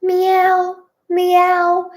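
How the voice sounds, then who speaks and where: A woman's voice: extremely high pitch, completely flat delivery, very slow, voice pushed up, exaggerated voice. A woman voicing a bewildered, cautious young man in a story, with a questioning manner. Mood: cautious coaxing.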